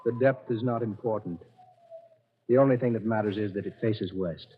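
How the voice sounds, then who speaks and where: Stoic, defiant captive, lowish husky voice, solemn measured delivery, 1940s radio horror, muffled sound. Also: male voice. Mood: calm defiance. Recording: dull old radio recording.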